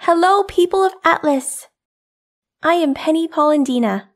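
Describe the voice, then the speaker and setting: A woman voicing an earnest, polite young female android soldier in an animated drama, with formal, sometimes hesitant phrasing. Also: very high voice, moderate pace, faint music behind. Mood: polite, confident. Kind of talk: animated-series voice acting.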